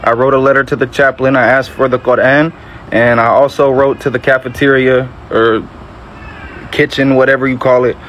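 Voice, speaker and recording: Young man, unhurried reflective talk, personal story, faint background noise. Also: medium pitch, little pitch movement, a bit bright. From Metro Atlanta, Georgia, USA. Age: early 20s. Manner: measured, reflective, frequent self-corrections. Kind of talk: personal testimony to camera.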